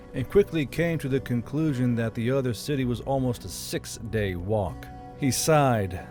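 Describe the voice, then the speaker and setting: A man's voice: medium pitch, darkish tone. A man reading scripted fiction as an audiobook narrator. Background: background music.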